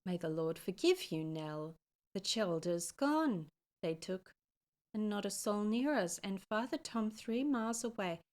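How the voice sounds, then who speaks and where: A woman's voice: medium pitch, expressive, measured pace, darkish tone, lightly acted. Woman reading a frantic Irish peasant widow. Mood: distraught. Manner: pleading, rising cries in a light Irish lilt.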